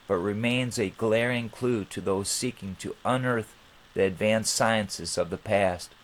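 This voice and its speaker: Slow-talking man, informal host. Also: lowish voice, low-quality recording. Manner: rambling, halting phrasing. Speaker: channel host. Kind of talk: informal explanatory monologue.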